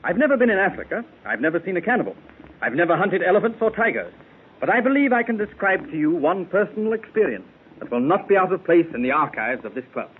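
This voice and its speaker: A man plays a seasoned Arctic explorer captain, spinning a formal, measured yarn in a fairly high, lively voice. The sound is a thin, tinny 1930s radio transfer. A faint hiss runs under it. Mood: recounting. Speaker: club member telling his adventure. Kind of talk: 1930s radio drama acting.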